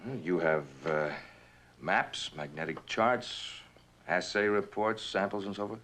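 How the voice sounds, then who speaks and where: A man's voice: low-pitched, measured pace, a bit bright, slight background noise. An actor playing a cold, condescending corporate right-hand man, polished and controlled, with veiled menace, in a mid-century television drama. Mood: businesslike.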